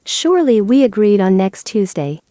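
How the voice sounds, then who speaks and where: A woman's voice: mid-range voice, very animated, moderate pace, dark tone, no room sound, clean studio sound, close to an everyday voice. A woman voicing a fussy, long-suffering male bear from a storybook, grumbling and protesting.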